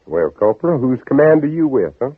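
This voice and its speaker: An actor playing an anguished Southern gentleman planter in theatrical, dramatic old-time radio style, sometimes narrating his own ordeal. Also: medium pitch, big pitch swings, brisk, husky, no room sound. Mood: courteous, probing. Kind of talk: 1940s-50s radio drama acting. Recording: old radio, dull narrow band, music behind.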